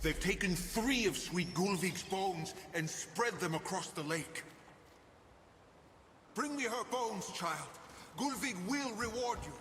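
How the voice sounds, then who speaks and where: A man's voice: high voice, lively intonation, slow. An actor playing a mournful, pleading male ghost in a video game, speaking in solemn, beseeching phrases through a ghostly reverb. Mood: eerie persuasion. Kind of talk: video game character acting. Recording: long cavernous reverb, music behind.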